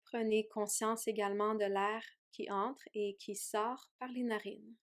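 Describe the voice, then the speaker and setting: A woman yoga teacher leading a guided meditation in a gentle, soothing way with long pauses. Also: mid-range voice, little pitch movement. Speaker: yoga teacher guiding a relaxation.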